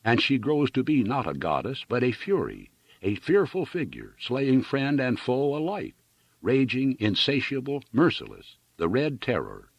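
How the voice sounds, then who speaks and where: A man's voice: mid-range voice, big pitch swings, a little slow, vocal fry, breathy edge, dry recording, pitched above the performer's own voice, heavily acted. Man as a fiery orator, declaiming emphatically. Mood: impassioned. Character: fiery young male orator, grandly rhetorical. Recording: dull lo-fi sound.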